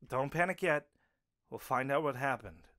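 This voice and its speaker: A man's voice: medium pitch, lively intonation, normal speed, pushed and bright. An actor playing a practical, level-headed male werewolf in an audio drama, reasoning things through steadily. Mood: calming.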